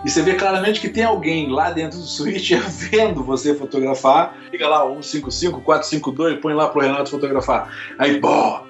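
Animated Carioca man telling stories. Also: a little high, fairly quick, reverberant, highs cut off, faint music behind. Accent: Carioca Portuguese. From Rio de Janeiro, Brazil. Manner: animated storyteller, many fillers and repeated words. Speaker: guest, lighting photographer. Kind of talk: casual interview chat.

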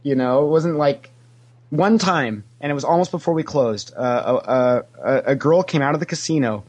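A man's voice: fairly high pitch, normal speed, a little mellow. Talkative man telling casual interview anecdotes. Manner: talkative storyteller, acts out quoted remarks. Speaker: podcast guest, former theme park character actor.

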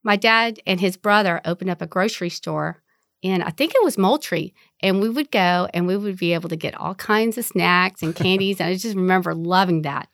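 The woman has a lowish voice and talks candidly through her story, laughing easily. She is from Vietnam and in her forties. The sound is close and dry. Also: brisk, fairly bright tone, dry recording. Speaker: interviewee. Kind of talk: oral history interview.

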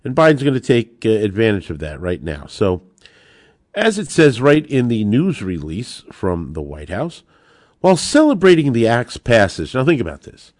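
A man's voice: medium pitch, big pitch swings, normal speed, a little mellow, no room sound, clean studio sound. A man hosting a podcast of opinionated political commentary, speaking in an emphatic, sarcastic way and repeating words for emphasis. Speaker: podcast host.

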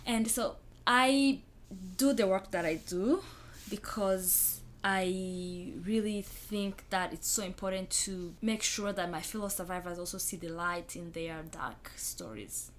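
A woman's voice: mid-range voice, a little slow, hardly any pauses, a little hiss or hum. A young woman from Rwanda with a Rwandan accent, a guest in an interview, speaking earnestly and reflectively and repeating phrases for emphasis.

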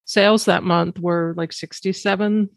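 A woman's voice: lowish voice, fairly even intonation, measured pace, vocal fry, dry recording, studio quality. Chatty, laughing woman podcast host. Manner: laughs often while talking, loose and chatty. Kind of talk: casual conversation.

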